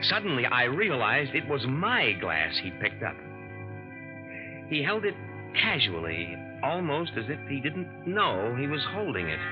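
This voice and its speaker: A man's voice: mid-range voice, very animated, a little slow. A man playing a clever, nervous young impostor who narrates in a tense, confiding, hard-boiled way. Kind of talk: first-person radio drama narration. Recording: muffled 1950s radio drama with music.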